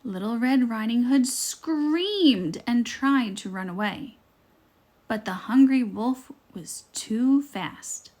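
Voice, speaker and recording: A woman reading a scripted children's fairytale aloud in a deliberate, expressive storytelling manner. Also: fairly high pitch.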